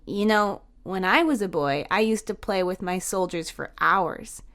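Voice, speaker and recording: A woman voicing a gentle, caring young transgender woman with a soft, slightly awkward manner in an audio story. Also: mid-range voice, unhurried, lightly acted. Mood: reflective.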